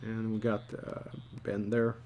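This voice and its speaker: A man giving a casual drawing tutorial, thinking aloud in a halting, muttering way as he works. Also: on the low side, monotone, dark tone, a little hiss or hum. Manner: halting, muttering to himself while working. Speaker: storyboard artist, tutor.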